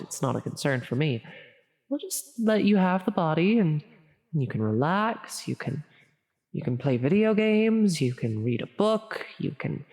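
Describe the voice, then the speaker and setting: A woman voicing a caring, flustered demon who insists she is evil, reassuring and chatty with stammers and self-interruptions, in a soft comfort audio roleplay. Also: low-pitched. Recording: long reverberant echo on voice.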